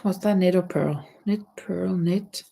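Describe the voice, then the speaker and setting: A woman's voice: on the low side, measured pace, creaky, warm tone, breathy edge. A middle-aged woman hosting a live crafting stream, chatting casually and reading viewer comments aloud, her voice nasal from a stuffy nose. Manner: chatty, reads viewer comments aloud, hums while working. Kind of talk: casual chat while crocheting.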